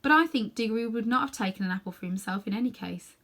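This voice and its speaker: A woman's voice: a little high, expressive, fast, a bit bright. Woman reading a children's story expressively. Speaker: storybook reader. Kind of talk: expressive scripted narration for children.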